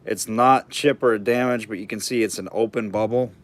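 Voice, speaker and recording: A man running a live online auction, calling bids and chatting loosely about the items, his throat getting hoarse. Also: lowish voice, steady tone, normal speed. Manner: chatty, rambling asides between bid calls.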